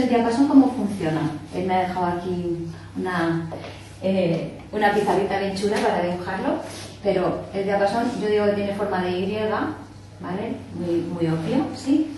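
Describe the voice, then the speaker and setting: A woman's voice: mid-range voice, dark tone. A woman, explaining to a small workshop group and checking in often to make sure everyone follows. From Barcelona area, Spain. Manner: explanatory, checks in often with "¿vale?", demonstrating with hands. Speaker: workshop instructor. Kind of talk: informal teaching talk to a small group. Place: small indoor meeting room. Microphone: far camera. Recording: echoey room from far off, faint hiss.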